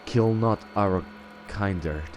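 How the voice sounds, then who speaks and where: A man's voice: on the low side, drawn-out words, faint music behind. A man reading game text aloud and role-playing, often stumbling over his words. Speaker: let's-play roleplay host.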